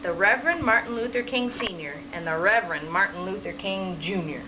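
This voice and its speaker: A woman's voice: mid-range voice, brisk. Woman teacher reading aloud, dull noisy recording. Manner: prompting, question-filled, teacherly. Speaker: primary school teacher. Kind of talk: reading a picture book aloud to children, stopping to question them. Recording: dull lo-fi sound with clear background noise.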